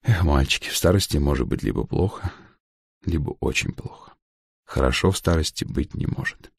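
A man voicing a sharp-tongued old grandmother in an audiobook, blunt and dry, handing out aphorisms. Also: a bass voice, moderate pace, vocal fry, breathy edge, no echo, exaggerated voice. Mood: wry.

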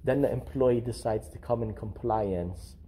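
A man's voice: medium pitch, a little slow, mellow, slight background noise. Upbeat man filming on a body camera. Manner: upbeat, chatty, thanking officials. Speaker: records auditor filming a town hall visit. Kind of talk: casual on-camera chat. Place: large open-plan office lobby indoors, then outdoors.

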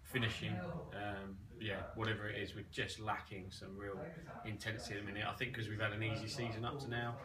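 A man's voice: on the low side, little pitch movement, brisk, few pauses, a loud crowd. A man, an amateur footballer, giving cheeky post-match comments in a small clubhouse room. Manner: cheeky, joking. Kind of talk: casual post-match interview. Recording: distant, echoey, noisy.

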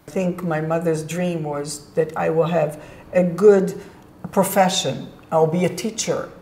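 A woman in her sixties with an Israeli accent, calmly recounting her own life journey in an earnest, measured way. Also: deep voice. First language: Hebrew. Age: 60s. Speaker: presenter telling her life story. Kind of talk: reflective personal narration. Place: indoor studio set with curtain backdrop. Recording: roomy echo with faint background music.

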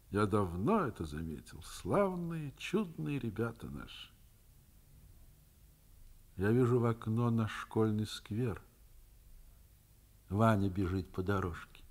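An actor in a Soviet radio play playing a middle-aged, principled school principal and worried father, speaking in a deliberate, reflective manner. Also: on the low side, heavily acted. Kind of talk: Soviet radio drama acting, narrating.

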